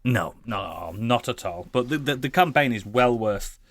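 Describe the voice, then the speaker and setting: A man's voice: medium pitch, animated, a little slow, fairly bright tone, no room sound. A British man chatting casually as a gaming podcast co-host, blunt, sweary and opinionated.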